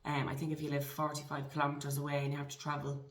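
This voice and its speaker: A woman in her forties with an Irish accent speaks in a very low register, earnest and encouraging, often restarting her phrases. The room around her is echoey. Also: monotone, quick pace. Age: 40s. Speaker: guest. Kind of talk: conversational interview.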